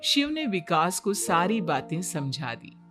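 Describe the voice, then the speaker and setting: A woman's voice: fairly low pitch, big pitch swings, measured pace, music in the background. A woman reading scripted narration for a moral cartoon story as its narrator.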